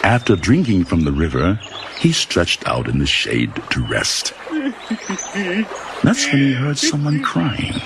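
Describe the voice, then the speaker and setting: A man narrating a children's storybook in an expressive, dramatic storytelling manner. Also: fairly low pitch, takes it slowly, a crowd in the background. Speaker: audiobook narrator. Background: music.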